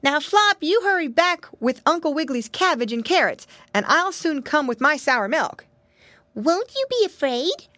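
A woman voicing an excitable, squealing little piggy boy in a children's storybook. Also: high voice, moderate pace, no echo, pitched above the performer's own voice, full character voice. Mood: eager, bold. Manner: exclamatory, gleeful, squealing.

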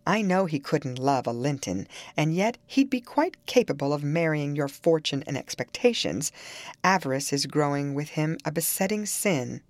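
A woman voicing a haughty, sharp-tongued young married lady in an audiobook of a classic novel, scolding emphatically. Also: sits low, lively intonation, moderate pace, dry recording. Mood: emphatic warning.

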